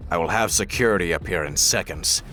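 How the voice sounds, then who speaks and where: Deep hard-edged tycoon acted by a man, cold, curt, threatening, cartoon drama, faint music. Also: fairly quick, pushed and bright. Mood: cold, impatient. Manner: curt, controlled. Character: cold, controlled male corporate tycoon, quietly threatening.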